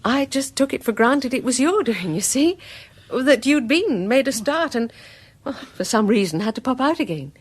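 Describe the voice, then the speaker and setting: A genteel British housewife in a radio play. Her voice is fairly high and husky, with very lively pitch movement. She is chatty and solicitous, eager to please and a little puzzled. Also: moderate pace. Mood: puzzled, explaining. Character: polite, chatty married newcomer woman, eager and puzzled. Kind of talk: radio drama acting.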